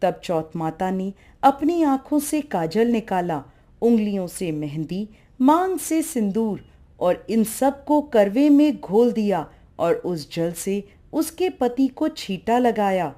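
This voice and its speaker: Female folk-tale narrator, soft-toned and unhurried, for devotional storytelling. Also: medium pitch, very animated.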